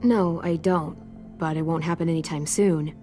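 Woman playing a low-voiced protective teenage girl survivor. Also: fairly even intonation, moderate pace, mellow, soft background music, close to an everyday voice. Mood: firm, reassuring. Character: protective, weary teenage girl survivor raising a young boy. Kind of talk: naturalistic video game acting.

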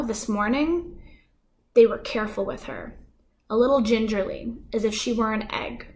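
A young woman calmly reading a children's novel aloud at home, with gentle voices for the dialogue. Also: on the high side, a little hiss or hum. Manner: calm, gentle storytelling, soft dialogue voices. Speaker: guest reader. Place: living room at home.

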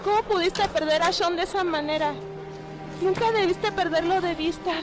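A woman's voice: extremely high pitch, moderate pace, music in the background. A woman with a Latin American accent playing a distraught, grieving mother in a video game dub. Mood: reproachful, anguished. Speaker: fan dub voice actor.

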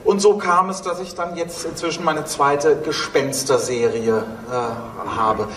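Male panel guest, thirties, fairly high voice, distant and roomy stage sound. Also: unhurried, a bit bright. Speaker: audio drama producer on a panel. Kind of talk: panel talk. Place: on stage in a dark event hall. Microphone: handheld microphone, heard through room. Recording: roomy hall sound, distant, faint noise.

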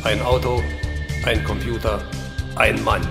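Male announcer, dramatic intro, gravelly, echoing, loud music. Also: fairly low pitch, flat delivery, measured pace.

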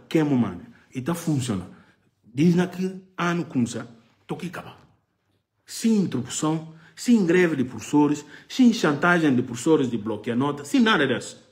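A man's voice: on the high side, a little mellow. Impassioned middle-aged man. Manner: impassioned, gesturing, repeats phrases for emphasis. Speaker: political commentary host. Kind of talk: live political commentary to camera. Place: living room sofa, indoors. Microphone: phone camera at arm's length.

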